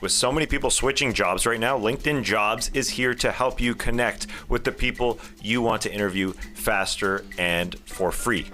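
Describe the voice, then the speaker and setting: A man reading a podcast ad in a conversational way. His voice sits a bit low but has a bright, forward tone, over faint music. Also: normal speed, hardly any pauses. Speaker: podcast co-host reading sponsor ad. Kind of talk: conversational host-read ad.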